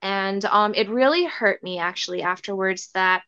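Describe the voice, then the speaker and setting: A woman's voice: mid-range voice, normal speed, sharp tone, clear, resonant tone, dry recording, dull audio. A young woman raised in Canada with Thai as her first language, telling a story as an interview guest in a rambling way, full of "like" and "um" and restarts. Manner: rambling, filler-heavy with many restarts.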